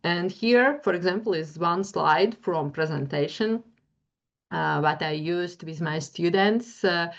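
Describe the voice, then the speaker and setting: A woman's voice: fairly low pitch, unhurried, creaky, muffled recording. A woman with a Latvian accent giving a webinar talk as a university lecturer, hesitant and full of fillers as she searches for words. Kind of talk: webinar presentation, screen-share demo.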